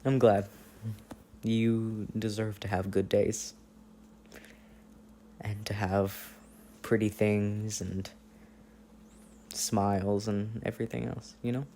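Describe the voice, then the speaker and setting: A man playing an affectionate, playful boyfriend on a long-distance phone call, in intimate, casual ASMR roleplay with gentle teasing and hesitant pauses. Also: lowish voice, level tone, a little hiss or hum. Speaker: audio roleplay performer.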